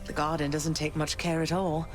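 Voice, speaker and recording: An actress as a low-voiced, composed goddess queen. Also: little pitch movement, normal speed, music in the background, natural voice. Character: composed, gracious goddess queen and mother of a grown son. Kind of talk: video game voice acting.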